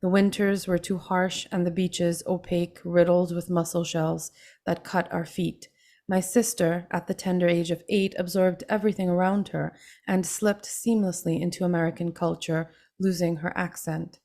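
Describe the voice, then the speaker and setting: Low-voiced Trinidad-born woman reading her memoir. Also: little pitch movement, normal speed, warm tone. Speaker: author reading and answering. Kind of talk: reading from her memoir, then panel answers.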